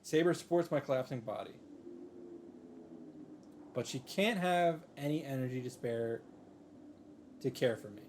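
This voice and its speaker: A man's voice: mid-range voice, unhurried, long pauses, darkish tone, a little hiss or hum. A man reading visual novel narration aloud in a dramatic style, reacting off the cuff.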